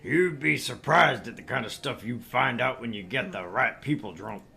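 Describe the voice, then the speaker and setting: A man's voice: medium pitch, moderate pace, gravelly, sharp tone. A man voicing a brash, crude, sleazy middle-aged tabloid editor in a visual novel read-through, with a pompous, pushy manner. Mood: boastful.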